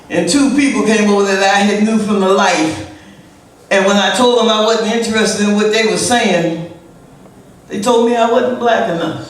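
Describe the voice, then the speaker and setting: A middle-aged woman performing spoken-word poetry with a loud, emotional delivery, chatting between her pieces. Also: medium pitch, a little hiss or hum. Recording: echoey room, distant mic.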